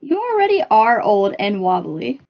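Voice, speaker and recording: A breezy, teasing schoolgirl acted by a woman reading a storybook. The voice is fairly high, and the recording sounds dull. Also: very animated, normal speed.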